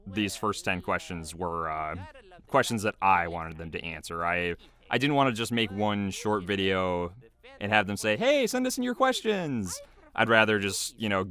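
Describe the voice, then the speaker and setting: A man's voice: sits low, moderate pace, pushed and bright. A man giving casual behind-the-scenes commentary as a video creator, rambling loosely in a small homemade booth.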